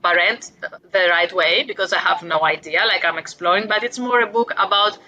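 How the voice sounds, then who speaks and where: A woman's voice: on the low side, a little fast, pushed and bright, dull audio. A woman in her forties from Greece with a Greek accent, a guest author talking reflectively about parenting, with light self-deprecating humor. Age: 40s. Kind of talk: casual interview talk.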